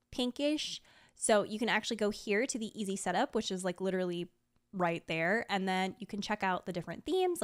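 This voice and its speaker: Casual, chatty woman streamer. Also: mid-range voice. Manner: conversational, peppers talk with "like" and small laughs. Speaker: video creator and streamer presenting a sponsored ranking video. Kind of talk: casual chatty vlog talk to viewers.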